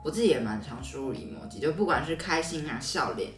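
A woman's voice: deep voice, expressive, fast. A woman with a Taiwanese Mandarin accent, chatting casually as a podcast co-host. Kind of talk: casual chat. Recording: roomy echo, distant mic, music.

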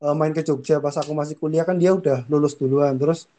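A man's voice: fairly high pitch, steady tone, quick pace, warm tone. A young man with a northern Ngapak Javanese accent, chatting casually as a podcast co-host, rambling with restarts and easy laughter.

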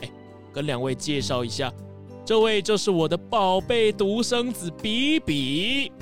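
A man's voice: high voice, lively intonation, a little slow, faint music behind. A man playing a boastful, genial, very rich count in a children's mystery audio drama.

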